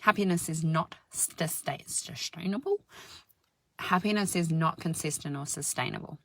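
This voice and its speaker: A woman with a deep, husky voice giving a slow, soothing spiritual self-help talk, sometimes dropping into soft whispers. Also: no echo. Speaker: spiritual healer and channel host.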